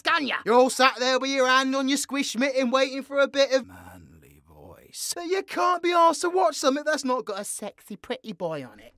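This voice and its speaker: Man putting on a shrill, brash British demon mascot. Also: gravelly, a bit bright, dry recording, clean studio sound, exaggerated voice. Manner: brash, ranting, sweary. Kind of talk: comic skit rant to listeners.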